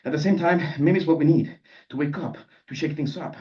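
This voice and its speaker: A man's voice: on the high side, a little fast, mellow, voice pushed up, close to an everyday voice. A man voicing a touchy, macho male grad student in a live story reading, slangy and posturing. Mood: earnest. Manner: slangy, earnest, then threatening. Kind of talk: character dialogue in a live literary reading. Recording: dull sound, distant microphone.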